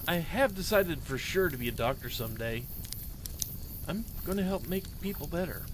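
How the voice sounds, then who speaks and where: A man's voice: fairly high pitch, expressive, moderate pace, background noise, lightly acted. A man voicing a young child who earnestly reads out a lonely diary in a simple, storytelling manner. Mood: earnest, hopeful.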